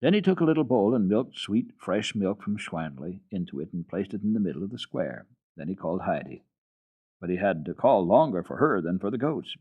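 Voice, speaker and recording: Guy reading a kids book aloud, deep-ish and really expressive, tinny old radio sound. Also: slightly rough. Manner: expressive, lilting storytelling. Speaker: radio storyteller. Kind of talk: dramatic reading of a children's book.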